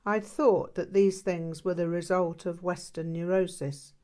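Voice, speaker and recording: A woman reading an audiobook as narrator, in a measured, literary style. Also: on the low side, steady tone, warm tone.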